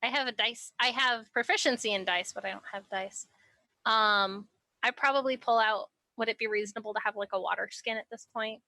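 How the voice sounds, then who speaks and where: A woman with a bright, slightly high voice talks as a player in a tabletop game. She thinks aloud in a chatty way, heard through an online call mic. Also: a little fast, no room sound. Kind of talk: casual chat while playing a tabletop game. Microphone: computer mic on an online voice call.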